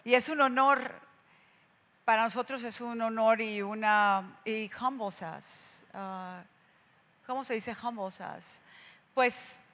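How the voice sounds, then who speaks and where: A woman's voice: a little high, a bit bright. A woman who is a guest speaker at a church, translating her partner's English into Spanish in short phrases and sometimes searching for words. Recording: long hall reverb.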